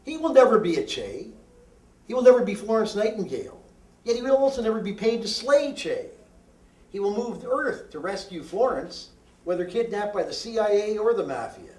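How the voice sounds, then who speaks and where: A man with a slightly high voice recites poetry in a roomy, echoing space. His delivery is declamatory and deadpan, with deliberate, emphatic phrasing. He sounds some way off from the microphone. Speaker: poet reading own work. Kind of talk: live poetry reading, declamatory. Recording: roomy echo, distant microphone.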